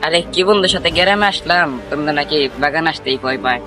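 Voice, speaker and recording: A man acting as a cocky young fellow in a homemade horror farce. His voice is a little high for a man and sits under loud music. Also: fairly quick, natural voice. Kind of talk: amateur comedy horror skit acting.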